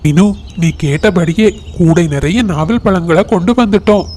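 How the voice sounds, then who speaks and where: A woman's voice: on the low side, moderate pace, dark tone, natural voice. A woman voicing a gullible, complaining male crow in a children's cartoon story. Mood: requesting. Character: gullible male crow husband, whiny and hungry.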